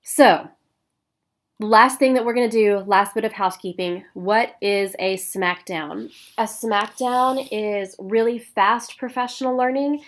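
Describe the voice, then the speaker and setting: A woman with a stuffy nose from a cold, giving a recorded professional development talk for teachers with clear, paced explanation and frequent "um"s. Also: mid-range voice. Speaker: instructional coach.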